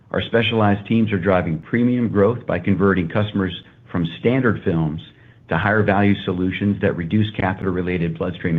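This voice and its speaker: A man, a company executive, gives a prepared corporate earnings presentation in a measured, formal manner. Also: low voice, slightly rough. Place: earnings conference call. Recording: muffled, phone-like conference call line.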